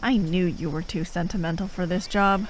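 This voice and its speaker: A woman playing an exasperated, sharp-tongued but reluctantly caring enemy operative in a fantasy audio roleplay, sardonic, speaking in short phrases with pauses. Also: fairly low pitch, steady tone, a little hiss or hum, natural voice. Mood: reluctant, worried. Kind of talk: fantasy audio roleplay acting, addressed to a listener.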